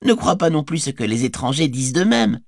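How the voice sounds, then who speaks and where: A man's voice: a little high, very animated, brisk, creaky, dry recording, clean studio sound, voice pushed up, full character voice. A man doing Pippin, a cheeky young hobbit. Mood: friendly. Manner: playful, teasing, mock-boastful. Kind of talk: audiobook character voice.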